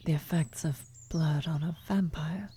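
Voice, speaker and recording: Soft, low, halting vampire woman acted out by a woman. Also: steady tone, a little hiss or hum. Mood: surprised, uneasy. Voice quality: soft, breathy, often slipping into whisper. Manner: halting, hesitant, gentle. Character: worried female vampire blood sorcerer, tender, guilty lover. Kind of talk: ASMR fantasy roleplay. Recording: outdoor birdsong and ambience behind. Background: birdsong, nature ambience effects.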